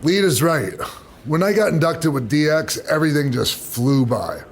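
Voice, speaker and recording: A man's voice: on the high side, expressive, normal speed, gravelly. An actor playing a laid-back, teasing veteran male wrestler in a video game cutscene. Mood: relaxed, teasing.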